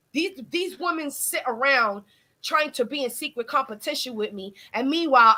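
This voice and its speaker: Fiery, high-voiced woman ranting bluntly. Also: pushed and bright. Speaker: guest. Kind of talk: casual ranting chat.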